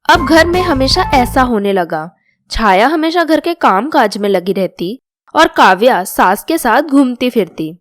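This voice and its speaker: A woman's voice: a little high, sing-song, unhurried. A woman narrating a scripted moral story as its storyteller.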